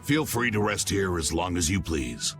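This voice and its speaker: A deep, courteous man of authority from a video game. His delivery is hospitable and animated, under clear music. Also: normal speed. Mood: welcoming. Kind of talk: English video game voice acting.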